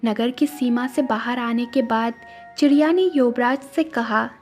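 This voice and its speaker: A woman narrates a cartoon moral tale in a high voice. It is scripted storytelling, with faint music playing behind her. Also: measured pace, a little mellow.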